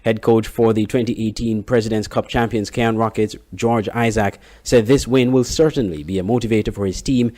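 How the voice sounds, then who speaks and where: A man, reporting sports and local news in a steady, measured read. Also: lowish voice. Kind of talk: scripted news reading.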